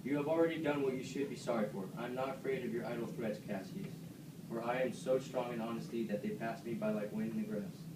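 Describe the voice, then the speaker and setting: A man's voice: mid-range voice, quick pace, natural voice. Man as stern Roman leader, distant and echoey. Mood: scornful. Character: Brutus, stern, self-righteous Roman leader. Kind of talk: amateur puppet-show Shakespeare parody. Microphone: distant camera microphone. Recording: echoey noisy room, far from mic.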